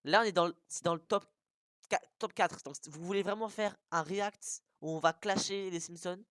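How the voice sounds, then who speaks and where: A young adult in casual group banter with friends, talking in an excitable, crude, slangy way. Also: medium pitch, normal speed, dry recording, clean studio sound. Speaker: participant in a group cartoon tier list.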